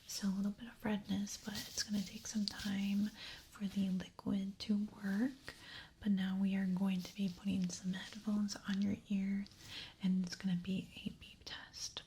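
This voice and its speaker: A woman speaking in a breathy whisper, gently giving reassuring instructions as an examiner in an ASMR medical roleplay. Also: medium pitch, a little hiss or hum. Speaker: ASMR performer playing an ear examiner.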